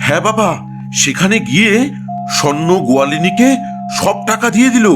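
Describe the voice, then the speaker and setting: A man's voice: high-pitched, animated, moderate pace. A man playing a fretful, simple-minded grown village son in a folk-tale cartoon, whiny, stammering and pleading. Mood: earnest explaining. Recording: music behind the voice.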